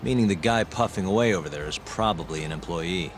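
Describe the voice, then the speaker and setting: A man acts as a nonchalant American detective in a video game. His voice is on the low side, dry and casual, with clear music underneath. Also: fast talker, lightly acted. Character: cool, nonchalant male private detective.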